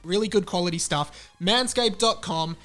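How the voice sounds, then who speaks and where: A man's voice: high voice, moderate pace, a bit bright, soft background music. A man hosting a podcast, talking informally and frankly, with emphatic repetition. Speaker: podcast host. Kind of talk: casual podcast talk and chatty ad read.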